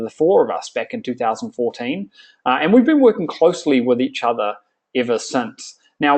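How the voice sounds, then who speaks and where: A man in his thirties with a New Zealand accent hosting a live sales webinar, upbeat and salesy with frequent fillers. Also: medium pitch. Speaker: webinar host.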